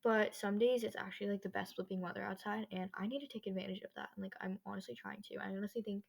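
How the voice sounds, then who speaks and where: Casting: teen female podcast host, average pitch, informal and chatty, wandering off on slangy tangents. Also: fairly even intonation, quick pace, gravelly, darkish tone. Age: teenager. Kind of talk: casual solo podcast chat. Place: own bedroom.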